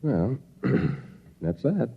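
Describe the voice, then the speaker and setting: An actor playing a grim, anxious but determined college professor in a 1950s radio drama. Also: male voice, mid-range voice, very animated, extremely slow, gravelly, husky, heavily acted. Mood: weary, uneasy. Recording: dull old radio recording.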